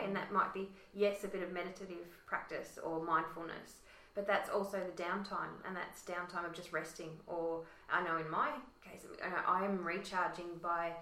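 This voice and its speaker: A woman host with a croaky voice, chatting warmly and using lots of fillers. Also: fairly low pitch, steady tone, normal speed, few pauses, far from the mic. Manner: chatty, lots of "you know". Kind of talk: casual discussion. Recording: roomy echo.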